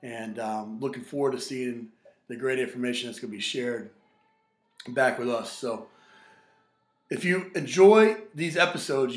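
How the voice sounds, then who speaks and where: Enthusiastic male podcast host, roomy sound. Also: medium pitch. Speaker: podcast host, strength coach. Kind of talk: podcast interview and promo.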